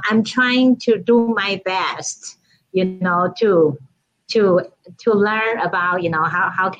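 A woman's voice: on the low side, fairly bright tone, dull audio. A woman from Taiwan with a Taiwanese accent, chatting as a guest in a casual interview, often restarting and filling with "you know".

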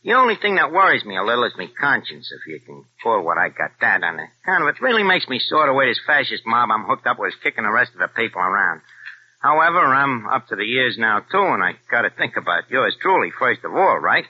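A man's voice: medium pitch, big pitch swings, brisk, slight background noise, close to an everyday voice. A man playing a slangy tough-guy crook. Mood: confiding. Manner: slangy tough-guy talk, hesitant fillers. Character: wisecracking American double-agent crook, streetwise. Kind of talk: 1940s radio acting. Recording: dull old radio recording.

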